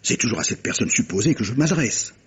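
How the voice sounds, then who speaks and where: An actor playing a grave gentleman captain in a radio mystery, speaking in a measured, insistent, formal way, in a 1950s French style. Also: medium pitch, expressive, slightly rough, muffled recording. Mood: grave, accusing. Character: grave gentleman captain pressing a guilty woman with hypotheticals. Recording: old radio drama recording.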